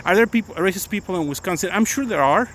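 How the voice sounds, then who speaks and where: A man's voice: sits high, lively intonation, fast, fairly bright tone. Middle-aged man with a Mexican accent, Spanish native, rambling live-stream chat outdoors, phone mic, faint noise behind. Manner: chatty, rambling, many restarts. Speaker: attorney vlogger. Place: outdoors on a morning walk.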